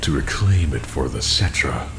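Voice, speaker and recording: An actor playing a megalomaniac super-soldier villain in a video game, grand and menacing, full of contempt. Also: male voice, extremely low pitch, expressive, moderate pace, gravelly, exaggerated voice. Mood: cold contempt. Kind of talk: dramatic video game acting. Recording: music behind the voice.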